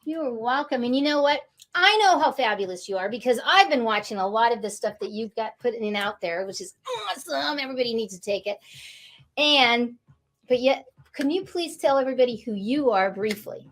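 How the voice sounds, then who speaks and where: A woman hosts an online interview over a video call with a high, bright-toned voice. She gushes with enthusiasm and tends to repeat her phrases. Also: lively intonation, a little fast. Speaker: host. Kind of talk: upbeat online interview chat.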